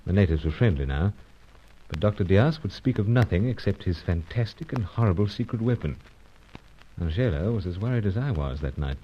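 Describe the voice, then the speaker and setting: Very deep-voiced British secret agent. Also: male voice, expressive, brisk. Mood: dramatic narration. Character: brisk male British secret agent, narrating his jungle adventure. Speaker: actor in the lead, also narrator. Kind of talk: old-time radio adventure serial acting. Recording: dull vintage radio recording, noisy.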